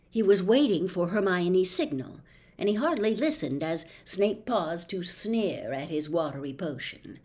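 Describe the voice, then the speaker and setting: A woman in her sixties reading a children's novel aloud with theatrical, expressive, deliberate phrasing, recorded at home. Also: fairly low pitch. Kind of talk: dramatic audiobook-style narration of a children's novel. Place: small bedroom at home.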